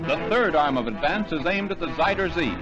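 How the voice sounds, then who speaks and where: A man's voice: on the high side, animated, fairly quick, lo-fi sound, over music. A man reading scripted 1940s newsreel narration in a formal announcer delivery, on a vintage soundtrack.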